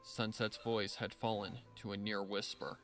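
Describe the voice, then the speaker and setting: A man narrating a dramatic scripted story in a measured storytelling style. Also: on the low side, level tone, a bit bright. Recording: voice over background music.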